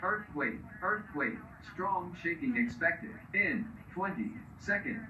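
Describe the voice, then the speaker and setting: A man's voice: sits high, animated, slow. A man's automated warning voice giving a clipped, repetitive earthquake alert. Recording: muffled, echoey, distant, very noisy alert audio.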